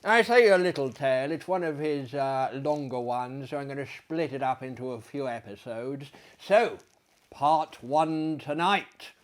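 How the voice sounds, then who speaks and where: A man in his thirties reading a literary tale aloud in a theatrical, gentlemanly manner, with dramatic pauses and chatty asides. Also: mid-range voice. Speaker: storyteller, host. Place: small book-lined living room, indoors.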